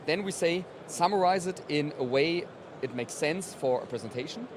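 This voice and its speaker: A man's voice: fairly high pitch, expressive, moderate pace. A man being interviewed as a company representative, explaining his product conversationally at a trade event. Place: busy exhibition hall. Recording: loud trade show floor noise.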